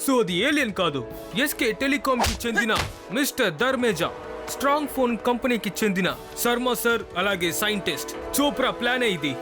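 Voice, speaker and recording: Casting: dramatic cartoon newsreader, very high voice, declarative, over loud music. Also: male voice, lively intonation, brisk. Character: dramatic male TV news announcer. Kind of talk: scripted news announcement in cartoon dub.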